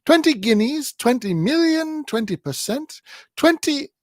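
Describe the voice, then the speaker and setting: A male reader voicing a senile old woman who jabbers about money in a shrill screech. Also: animated, a little slow, dry recording, clean studio sound. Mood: jabbering.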